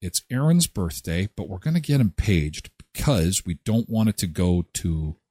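A man's voice: sits low, lively intonation, normal speed, mellow, husky, no room sound. A man hosting a live show, talking in a presenter's conversational style. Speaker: radio show host. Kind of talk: live show chat and event promo reading.

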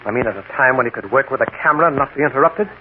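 An actor playing a menacing, smooth crime boss in a 1940s radio drama, sardonic and mockingly polite. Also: male voice, mid-range voice, animated, rapid-fire, lightly acted. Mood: cold, reproachful. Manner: sardonic, mock-polite, insinuating. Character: smooth, menacing male crime boss buying stolen photographs. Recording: noisy, tinny 1940s radio recording.

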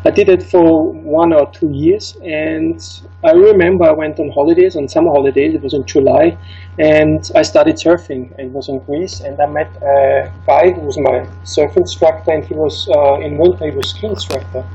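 A man's voice: fairly high pitch, steady tone, normal speed, vocal fry, warm tone, dull audio, faint music behind. A middle-aged man with an Austrian accent, German as his first language, telling his life story as an interview guest, with many fillers and restarts. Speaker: ski instructor.

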